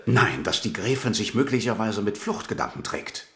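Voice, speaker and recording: Low-voiced fawning minister, echoey room. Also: male voice, expressive, moderate pace, vocal fry, fairly bright tone. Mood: urgent. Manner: urgent, deferential. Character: scheming male court minister, urgent and servile. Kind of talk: radio drama acting. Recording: roomy reverb.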